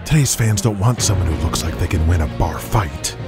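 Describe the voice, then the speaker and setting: A defiant young wrestling promoter played by a man in an audio drama. The voice is low and deliberate, loaded with pointed contempt, over loud music. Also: lively intonation. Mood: scornful.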